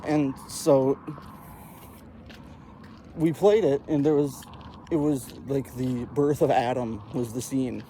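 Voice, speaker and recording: Man, mellow tone, casual comedic storyteller, profane, animated retelling, faint background noise. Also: medium pitch, low-quality recording.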